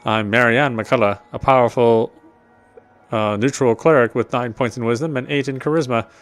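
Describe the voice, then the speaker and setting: A man's voice: medium pitch, fairly even intonation, normal speed, faint music behind. A man reading visual novel narration aloud as a let's player, sometimes stumbling and rereading phrases.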